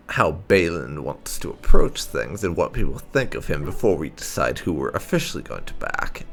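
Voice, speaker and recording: A man doing roleplay game commentary as host, deliberate and pausing thoughtfully. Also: low-pitched, vocal fry. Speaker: gaming host and player. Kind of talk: roleplay game commentary, reading dialogue choices.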